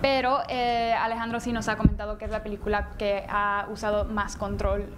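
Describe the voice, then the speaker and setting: A woman's voice: medium pitch, little pitch movement, a little fast. Young Mexican woman over noticeable background noise. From Mexican, raised in Dubai. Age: young adult. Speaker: actress being interviewed. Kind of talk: casual interview answers. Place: indoor festival interview booth. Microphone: handheld microphone held close. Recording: clear ambient noise behind the voice.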